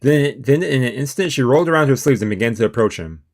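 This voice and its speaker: A man's voice: mid-range voice, lively intonation, fast talker, darkish tone. A man streaming a let's play, reading the story aloud and dropping in casual, slangy asides. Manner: informal, slangy, reacts in asides. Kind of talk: reading aloud a visual novel with casual commentary.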